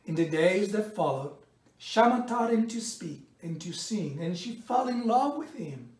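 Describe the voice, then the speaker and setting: A middle-aged man reads a picture book aloud in a measured, expressive storytelling way, pausing between phrases. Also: high-pitched. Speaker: storybook reader. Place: indoors in a bright home room by a window. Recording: roomy echo, distant microphone.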